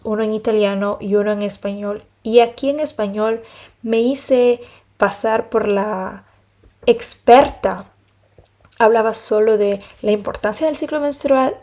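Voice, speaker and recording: A woman hosting a podcast, talking to her listeners in an earnest, unhurried way about her own story, with pauses between phrases. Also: medium pitch, mellow. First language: Spanish. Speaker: podcast host, pilates and yoga teacher. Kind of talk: personal reflective monologue.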